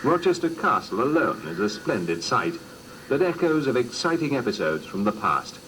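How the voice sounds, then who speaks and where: Lively male documentary narrator on noisy tape. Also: medium pitch, normal speed. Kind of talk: scripted narration. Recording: noisy old cassette recording.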